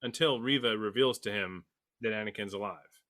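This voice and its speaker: A man's voice: on the low side, normal speed, a bit bright. A man talking casually as a panelist in a fan discussion podcast.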